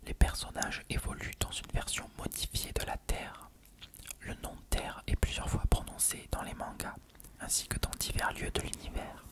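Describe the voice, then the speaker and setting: Fully whispered, hushed ASMR reader. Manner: hushed, measured reading of an encyclopedia-style text.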